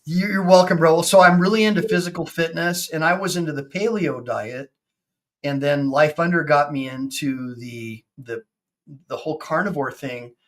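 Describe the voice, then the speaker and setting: A man in his fifties, a personal injury attorney hosting a casual video-call chat, excitable and full of stammering restarts. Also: a little high, moderate pace. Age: 50s.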